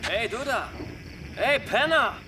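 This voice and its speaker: An actor playing a hot-headed, menacing small-town vigilante in a radio crime drama, brash and threatening. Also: male voice, very high-pitched, animated, slow pace, heavy background noise, exaggerated voice. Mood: aggressive.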